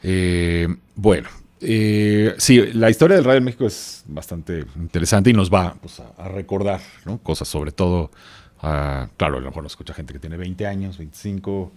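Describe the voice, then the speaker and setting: A man's voice: sits low, normal speed, darkish tone. A man in his forties with a Mexican accent, explaining things casually as a podcast guest, hesitating and wandering into asides. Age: 40s. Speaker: podcast guest, expert on radio history.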